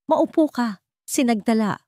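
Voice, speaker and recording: A woman's voice: fairly high pitch, unhurried, no echo, clean studio sound, pitched above the performer's own voice. A woman voicing a haughty, status-obsessed wealthy mother-in-law in a narrated story, stern and emphatic. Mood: cold, commanding. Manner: calm, emotionless, stern, emphatic delivery. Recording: music behind the voice.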